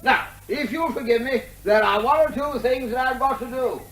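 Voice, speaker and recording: An actor playing a dotty, stubborn eccentric, blandly polite and evasive, in a radio comedy drama. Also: male voice, very high voice, normal speed. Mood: huffy, self-satisfied. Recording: echoey room with faint background noise.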